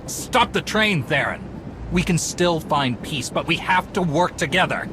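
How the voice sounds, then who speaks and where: An actor playing an earnest, resolute Jedi commander in a cinematic video game, speaking with authority. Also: male voice, a little high, animated, unhurried, background noise. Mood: urgent shouting, then pleading.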